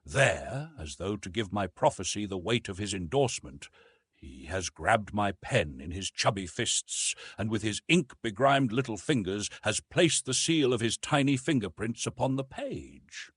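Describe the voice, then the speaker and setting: A man's voice: on the low side, sing-song, a little slow, fairly bright tone, husky, dry recording, clean studio sound, full character voice. A man voicing a tender, sorrowful English nobleman's diary in an audiobook, formal and wistful. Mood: tender hope.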